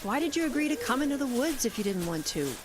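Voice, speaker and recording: Female, challenging journalist, highish lively voice, argumentative radio-play delivery. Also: quick pace. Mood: exasperated. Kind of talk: audio drama acting.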